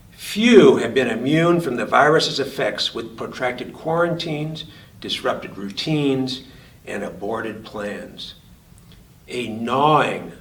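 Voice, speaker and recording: A physician and author reading his own essay aloud in a slow, measured, deliberate cadence. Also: mid-range voice. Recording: roomy sound from a distance.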